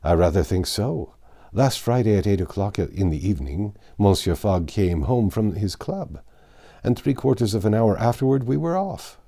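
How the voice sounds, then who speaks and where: A man's voice: on the low side, expressive, moderate pace, warm tone. A man voicing a voluble, proud and trusting French servant in a storybook, chatty and emphatic. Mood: confiding.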